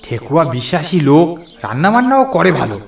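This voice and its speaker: A man's voice: on the high side, big pitch swings, a little fast, vocal fry. A man voicing an easygoing male friend who talks in a casual, matter-of-fact, reassuring way. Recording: echoey, music underneath.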